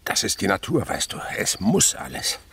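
A man's voice: low-pitched, huge pitch swings, moderate pace, pushed and bright, a little hiss or hum, heavily acted. An actor playing an elderly insect researcher, an eager and wistful uncle, in a German radio drama, dramatic and savouring his words. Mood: awed, excited. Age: older.

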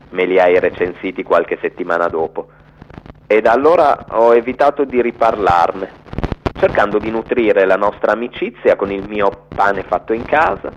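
A man's voice: on the low side, a little hiss or hum. A man reading a first-person literary narrative, recorded with dull radio sound.

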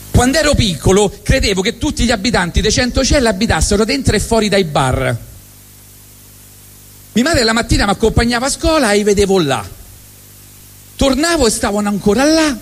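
A middle-aged man from Rome with a Roman accent, telling a wry, deadpan comic story on stage at a live event. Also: sits high, long reverb tail. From Rome, Italy. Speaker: monologue performer.

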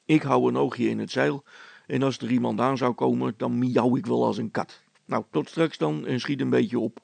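A man's voice: medium pitch, steady tone, moderate pace, vocal fry, a little mellow, dry recording. A man playing a brusque, no-nonsense village policeman who talks in a curt, impatient way.

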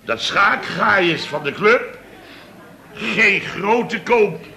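An actor playing a blustering, indignant boss of a building firm in a Dutch radio comedy, exclaiming and grumbling. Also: male voice, high voice, lively intonation, drawn-out words, creaky, sharp tone, full character voice. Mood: exasperated. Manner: exclamatory, grumbling, tags sentences with "hè" and "zeg".

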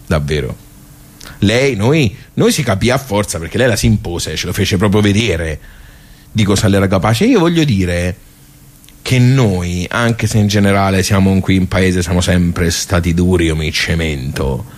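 An actor with a Tuscan accent playing a plain-spoken village social club regular in a radio monologue, rambling and hesitant, repeating himself with chatty asides. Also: male voice, lowish voice. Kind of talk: theatrical radio monologue, colloquial storytelling.

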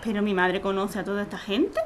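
A woman's voice: medium pitch, flat delivery, a little fast, background noise, close to an everyday voice. A woman playing a bewildered young mother facing eviction in a radio drama, anxious and full of questions.

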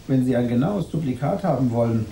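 An actor playing a blunt, dry shopkeeper in a radio drama, speaking deliberately in an echoey room. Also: male voice, mid-range voice, animated, dark tone, slight background noise, close to an everyday voice. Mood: dry, reluctant.

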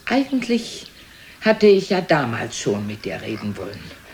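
A woman's voice: very deep voice, sing-song, measured pace, darkish tone, exaggerated voice. An actress playing a proud, reproachful elderly mother in a radio play, scolding and accusing in a dramatic manner. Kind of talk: German radio play acting.

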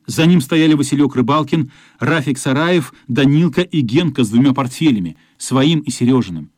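A man's voice: mid-range voice, lively intonation, moderate pace, a little mellow, no room sound, studio quality. A man giving dramatic scripted narration for a radio play. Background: music.

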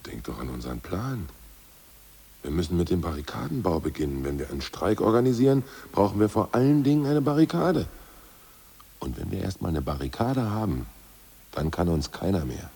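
An ousted old party boss in a radio play, plotting in a deep, gravelly voice that swings up and down. His tone is husky and dark, and he often drops to a hushed whisper. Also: sing-song, brisk, exaggerated voice. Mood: scheming. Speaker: ousted Politburo member. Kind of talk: 1980s radio play acting.

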